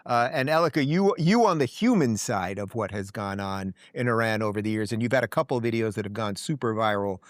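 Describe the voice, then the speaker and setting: A man's voice: fairly low pitch, a little fast, few pauses, no echo, clean studio sound. A man hosting an interview show, talking in a loose, casual way with fillers and restarts. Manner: relaxed, with frequent uh fillers and restarts. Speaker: show host.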